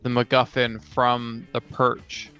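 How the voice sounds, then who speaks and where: A man talks casually through gaming ideas, step by step, in a smooth and fairly flat voice. He sounds thoughtful as a co-host and player. The recording is a little dull, with music behind. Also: mid-range voice, slow. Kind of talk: casual brainstorming chat.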